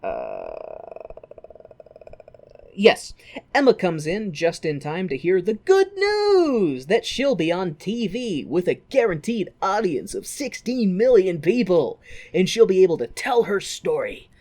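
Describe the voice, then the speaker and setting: Animated woman, playful and theatrical. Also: on the low side, slow pace. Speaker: podcast co-host. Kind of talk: humorous plot recap in casual chat.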